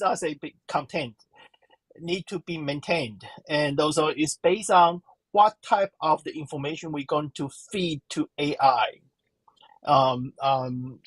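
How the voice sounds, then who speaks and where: A slow-spoken woman gives interview answers in a husky voice. She halts often and fills the gaps while she searches for her words. The sound is dry and close. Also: low-pitched, no room sound, poor audio. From Taiwan. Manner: halting, searching for words, many fillers. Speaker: professor and guest.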